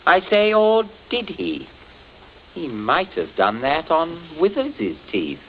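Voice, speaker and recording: Dry sarcastic captain in old radio mystery, swingy delivery, faint hiss. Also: male voice, a little high, wildly swooping pitch, full character voice. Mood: pointed. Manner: sardonic, deliberate, polite. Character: wry, unflappable captain calmly turning accusations back. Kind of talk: old-time radio suspense acting. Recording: muffled, hissy old radio recording.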